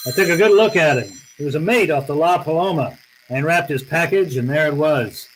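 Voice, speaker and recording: Male actor as tough private eye, radio noir, slangy, terse, higher-than-average male pitch, faint noise behind. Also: animated, fairly quick, lightly acted, rough recording. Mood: hard-boiled narration. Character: wry, tough, hard-boiled male private detective. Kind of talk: old time radio detective drama, noir narration.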